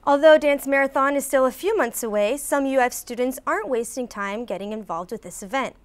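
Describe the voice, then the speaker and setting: A woman reading scripted television news reports as a reporter, with crisp broadcast enunciation. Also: high-pitched, brisk.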